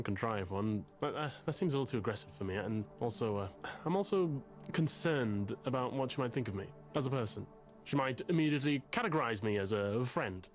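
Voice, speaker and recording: A man's voice: medium pitch, lightly acted. An actor playing a pompous, anxious, lovesick male literature student in a video game, speaking in a verbose, formal and hesitant way. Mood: earnest, anxious. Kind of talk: visual novel game voice acting.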